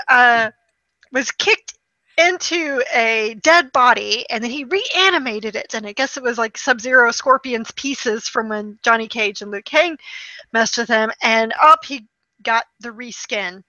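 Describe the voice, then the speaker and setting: A woman talks in a casual, expressive storytelling style, slipping into quoted reactions. Her voice sits on the high side and sounds clear-edged and bright. The recording is dry, with very little room sound. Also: measured pace, dry recording, muffled recording. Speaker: podcast co-host reviewing movies. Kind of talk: casual chat, animated anecdote-telling.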